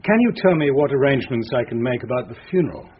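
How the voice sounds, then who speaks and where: An actor playing a self-possessed, formally polite captain in a radio drama. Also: male voice, mid-range voice, expressive, fast talker, close to an everyday voice. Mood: stiff, polite. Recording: dull radio recording, faint noise.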